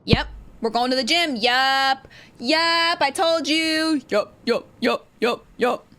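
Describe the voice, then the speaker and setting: High-voiced chatty woman game streamer. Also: slow pace, sharp tone. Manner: chatty, playful, repeats words. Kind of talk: casual game commentary. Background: game music.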